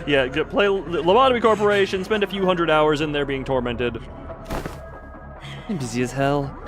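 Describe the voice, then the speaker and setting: A man's voice: on the high side, moderate pace. A man hosting a game livestream, chatting and commenting as he reads along. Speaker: livestream host. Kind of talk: livestream game commentary, reading story text aloud and chatting. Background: game music.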